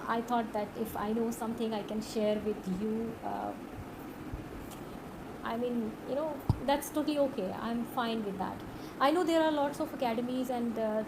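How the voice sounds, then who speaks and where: Earnest Pakistani-accented woman tutor. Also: a little high. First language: Urdu. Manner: earnest, unhurried, many filled pauses. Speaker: online course tutor. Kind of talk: direct-to-camera teaching talk. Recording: audible background noise.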